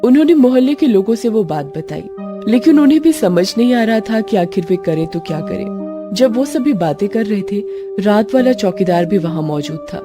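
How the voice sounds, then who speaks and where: A woman's voice: medium pitch, expressive, moderate pace. A woman reading a scripted story as a narrator, in a storytelling style. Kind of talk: scripted narration of a Hindi moral horror story. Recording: music under the voice.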